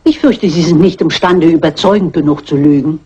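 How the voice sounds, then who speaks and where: A woman's voice: low voice, fairly quick, warm tone, slightly rough. Dull-sounding, composed elderly lady sleuth. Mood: composed, reassuring. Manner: polite, precise, matter-of-fact. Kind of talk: German crime film dub acting. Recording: dull, lo-fi old film soundtrack.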